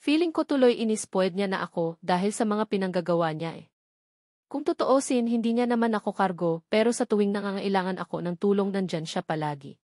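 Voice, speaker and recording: Woman, Filipino accent, romance novel narration, medium pitch, dry close sound. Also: a little fast, darkish tone, no echo. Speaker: audiobook narrator. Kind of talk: scripted first-person romance novel narration.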